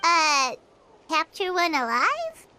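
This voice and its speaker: A woman's voice: wildly swooping pitch, slow, background noise, full character voice. A woman voicing a small, chirpy, childlike fairy girl companion in an anime video game, chatty and eager. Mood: puzzled, wary. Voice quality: squeaky, childlike. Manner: refers to herself in third person, exclaims brightly. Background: game music.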